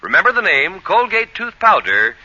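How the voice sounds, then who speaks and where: Very animated, forceful male radio announcer. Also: sits high, normal speed. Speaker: commercial announcer. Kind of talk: 1940s radio advertisement. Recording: dull, dry old radio recording.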